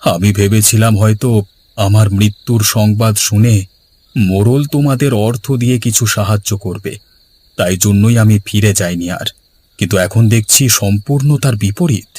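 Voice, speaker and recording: A man playing an earnest, gentle village father and husband in a cartoon, speaking in dramatic storytelling fashion. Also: on the low side, moderate pace, close to an everyday voice. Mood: explaining. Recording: music behind the voice.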